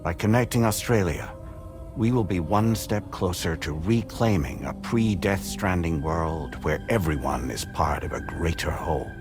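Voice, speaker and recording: An actor playing a stately, courteous corporate president in a game, with a formal, deliberate, authoritative delivery. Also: male voice, deep voice, lively intonation, over music. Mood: courteous, assured. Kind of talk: scripted game cutscene speech.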